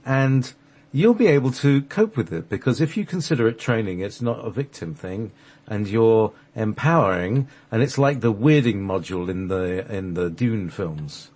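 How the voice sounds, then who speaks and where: A man talks casually, as a radio host swapping stories. His voice is on the low side and rough-edged with huskiness. He rambles, restarting and hesitating, with a joking tone. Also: animated, moderate pace, a little mellow. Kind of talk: casual conversational storytelling.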